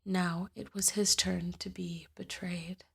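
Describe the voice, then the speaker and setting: A woman host reading a first-person fantasy story aloud in a measured, deliberate way. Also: on the low side, little pitch movement, vocal fry.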